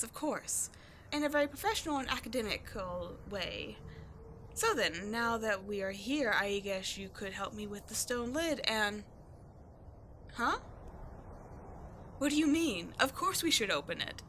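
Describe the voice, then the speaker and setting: A woman's voice: fairly high pitch, a bit bright, slight background noise. A woman acting a brash, cheeky tomboy archaeologist in a roleplay audio drama, bantering with the listener with defensive bravado. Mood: breezy, teasing. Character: brash tomboy adventurer archaeologist, cocky, flustered, secretly sweet.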